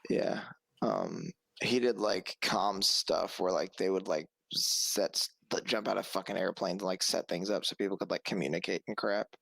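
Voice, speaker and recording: A young man from the American South, co-hosting a podcast in a joking, relaxed casual chat over a headset mic at home. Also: deep voice, normal speed, creaky, breathy edge, no room sound. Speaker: podcast co-host. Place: small room with pink lighting, at home.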